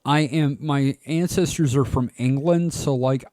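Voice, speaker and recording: A man's voice: medium pitch, a little slow, mellow, dry recording. A man co-hosting a casual comedy podcast, bantering in a sweary, mock-grumbling way. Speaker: podcast co-host.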